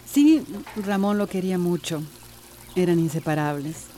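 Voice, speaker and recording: A kindly Central American woman running her household. Her voice is soft-edged and on the low side, practical and sensible in radio drama style, over faint background noise. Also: lively intonation, unhurried. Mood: gentle, reflective.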